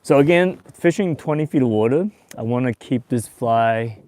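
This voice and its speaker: Relaxed chatty man in his forties. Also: medium pitch, moderate pace. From Taiwan and Australia. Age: 40s. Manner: relaxed and chatty, with bursts of excitement when a fish bites. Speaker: fishing show host. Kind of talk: casual livestream while fishing. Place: on a boat on a lake, outdoors.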